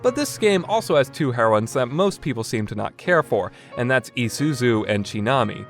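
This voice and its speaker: A man's voice: mid-range voice, expressive, moderate pace. A man delivering a semi-scripted review commentary in a conversational, opinionated way, like a podcast host. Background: background music.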